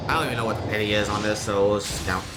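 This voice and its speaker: A man giving casual live gameplay commentary as a streamer, with mild, low-key, resigned reactions. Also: fairly even intonation, racing, heavy background noise. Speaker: game streamer. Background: game music.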